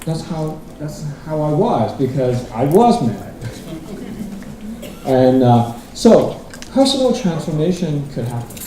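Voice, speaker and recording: A middle-aged man from Hong Kong gives a loose, self-deprecating talk and keeps circling back to restart his sentences. His voice sits a little high but sounds mellow and dark. He is picked up from a distance in a large, very echoey hall with faint background hum. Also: big pitch swings, slow. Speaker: guest speaker. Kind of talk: conference talk.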